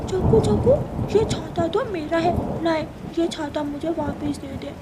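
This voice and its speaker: A woman's voice: very high voice, unhurried, noisy background, pitched above the performer's own voice. A woman voicing a childlike, agreeable young boy pigeon in a children's cartoon. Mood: upset. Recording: loud rain and thunder behind.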